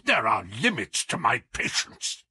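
Man playing proud, blustering villainous count, forceful. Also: mid-range voice, wildly swooping pitch, a little slow, heavily acted. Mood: furious. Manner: curt, indignant, blustering. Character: menacing, defiant criminal count, proud and irritable man. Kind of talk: audiobook character acting.

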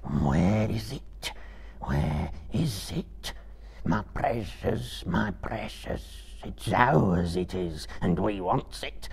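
A wretched, spiteful little creature, hissing and whining in a raspy voice. He drags his words out slowly, wheedling and cringing as he repeats himself, and his pitch swoops wildly. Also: animated, darkish tone, heavily acted. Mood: bitter, obsessive. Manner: whimpering and wheedling, broken grammar, repeats himself. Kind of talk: dramatic live reading.

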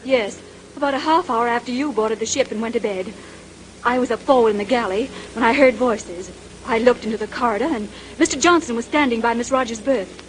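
Woman playing an eager, high-voiced air stewardess. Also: a little fast, close to an everyday voice. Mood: earnest testimony. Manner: polite, flustered, eager. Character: earnest, dutiful young female air stewardess. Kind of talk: 1930s radio drama acting. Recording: noisy vintage 1930s radio recording.

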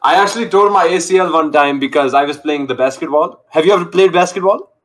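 A young man on a video call through a gaming headset, joking and boasting in a loud, cocky way. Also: fairly high pitch, fast, rough recording. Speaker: online date contestant. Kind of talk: casual video call banter.

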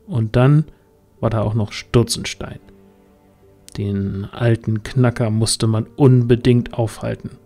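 A man narrates a fantasy audio drama. His voice is mellow and dark, at a middling pitch, reading scripted storytelling over faint music. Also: fairly even intonation, takes it slowly. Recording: music under the voice.

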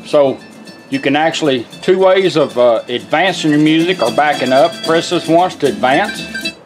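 A man's voice: a little high, animated, normal speed, faint music behind. A man giving a casual, folksy, step-by-step product demonstration in an informal way. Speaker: vintage car radio restorer demonstrating. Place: indoor workshop bench.

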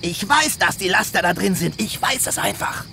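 A man's voice: sits high, animated, moderate pace, creaky, bright, forceful tone, slight background noise, heavily acted. A man voicing a brash, cocky, dim young soldier hungry for gold in a German-dubbed video game cutscene. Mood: eager, cocky. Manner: brash, slangy, flippant.

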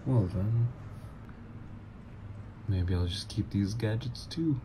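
A man's voice: fairly low pitch, takes it slowly, background noise. A man voicing a teasing supervillain ex. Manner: teasing, playful, flirtatious. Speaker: voice actor. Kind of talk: ASMR roleplay audio.

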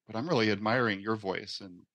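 A man's voice: fairly low pitch, a little fast, a bit bright, dry recording. A man, a guest therapist, speaking earnestly in a practice role-play with frequent repeated words.

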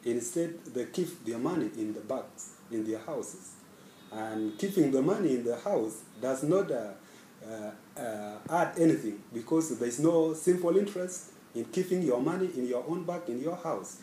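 A young man from South Sudan introduces himself in an earnest, halting way, pausing often between thoughts. His voice is mellow and soft-edged. He sits a little back from the mic in an echoey small office. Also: medium pitch, expressive, slight background noise. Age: young adult. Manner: earnest, hesitant with many pauses and fillers. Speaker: scholarship student. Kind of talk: self-introduction to camera. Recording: echoey room, slightly far from mic.

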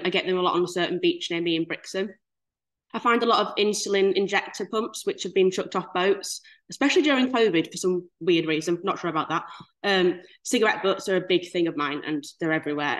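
A woman in her twenties with a Yorkshire accent, giving a chatty, good-humoured presentation over a video call. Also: on the low side. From Leeds, Yorkshire, England. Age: 20s. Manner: chatty, lively, self-deprecating humour. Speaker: guest speaker. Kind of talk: casual webinar presentation.

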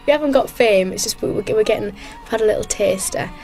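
A teenage girl talking casually and excitedly about her experiences, acting out what people say to her. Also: medium pitch, quick pace, soft background music. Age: teens. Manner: excited, chatty, acts out quotes. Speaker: contestant. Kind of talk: casual chat. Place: recording studio.

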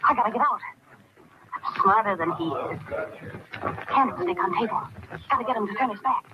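A woman's voice: mid-range voice, fairly quick, close to an everyday voice. A woman acting in an old radio drama, playing a spoiled, defiant nineteen-year-old rich girl. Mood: tense inner monologue. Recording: noisy, muffled old radio recording.

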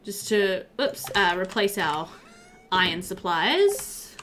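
A woman's voice: mid-range voice, big pitch swings, slow pace, a bit bright, a little hiss or hum. A woman in her thirties giving casual, chatty let's-play commentary, easygoing and thinking aloud as she plays. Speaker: gaming video host.

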